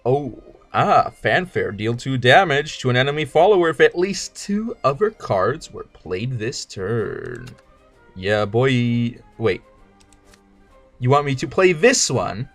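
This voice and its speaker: A man's voice: mid-range voice, big pitch swings, takes it slowly, soft background music. Rambling, jokey male game streamer. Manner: rambling, jokey, stammers and restarts. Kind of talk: casual gameplay commentary.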